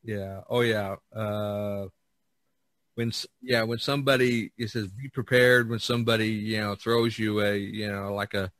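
Bright-voiced, chatty, rambling man. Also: fairly low pitch, steady tone, unhurried. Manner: rambling, jokey. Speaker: podcast host. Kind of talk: casual, chatty discussion. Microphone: online video call.

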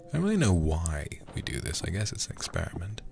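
A man doing hushed ASMR game commentary as a livestreamer, murmuring softly and often whispering close to the microphone. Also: low voice, huge pitch swings, fast, soft background music. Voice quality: soft, breathy, often whispered. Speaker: livestreamer playing a horror game.

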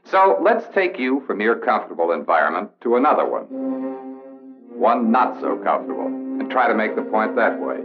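Low-voiced American officer lecturing. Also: male voice, big pitch swings, over loud music. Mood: serious, instructive. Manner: measured, deliberate, formal. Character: serious male Air Force officer instructor. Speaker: instructor presenting a military training film. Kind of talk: scripted 1960s training-film lecture. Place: indoor briefing room film set. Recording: dull vintage film soundtrack.

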